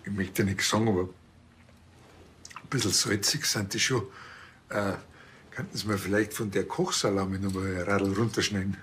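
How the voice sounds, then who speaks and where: A laid-back Bavarian village policeman played by a man in a TV crime comedy. He is chatty and wily, with a lowish voice and faint noise behind him. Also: steady tone, moderate pace, pauses often, fairly bright tone, lightly acted. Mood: affable. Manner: casual, folksy. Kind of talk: television crime comedy acting.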